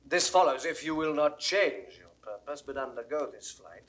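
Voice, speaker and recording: An actor playing a loyal, earnest lord counselling a prince, speaking in measured, persuasive, declamatory classical stage style. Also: male voice, medium pitch, expressive, a bit bright, close to an everyday voice. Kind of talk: classical Shakespearean stage acting, 1960s audio recording.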